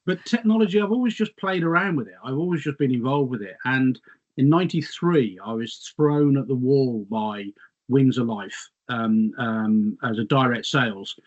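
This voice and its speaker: A man's voice: medium pitch, normal speed, highs cut off. A middle-aged English man, a guest, slightly losing his voice, reminiscing in a rambling, self-mocking way. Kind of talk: casual reminiscing chat.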